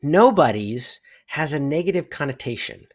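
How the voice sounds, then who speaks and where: A man's voice: fairly high pitch, big pitch swings, normal speed. An American man in his fifties, a guest thinking aloud in a casual religious discussion over a video call. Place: indoor room at home, video call.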